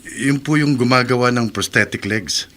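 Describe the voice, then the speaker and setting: A man's voice: mid-range voice, normal speed, fairly bright tone, lightly acted. A man playing an earnest young soldier. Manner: respectful, earnest. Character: polite young male soldier. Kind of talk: radio drama acting.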